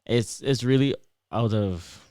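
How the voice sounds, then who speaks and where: A young man whose Trinidadian accent drifts toward American, a guest explaining things in a lively, casual way with lots of examples and fillers. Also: medium pitch, slow, no echo, clean studio sound. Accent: Trinidadian shifting toward American. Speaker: interview guest, PhD student.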